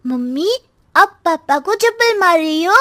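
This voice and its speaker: A woman's voice: very high voice, lively intonation, a little slow, sharp tone, dry recording, studio quality, exaggerated voice. A woman voicing a lazy, cheeky schoolboy son in a cartoon, drawling out nonchalant comebacks.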